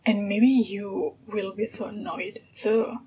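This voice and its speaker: A woman's voice: mid-range voice, fairly even intonation, slow, mellow, breathy edge. A woman from Indonesia with an Indonesian accent, hosting a casual solo podcast and thinking aloud with hesitations and light laughs. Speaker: podcast host. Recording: dull lo-fi sound with background noise.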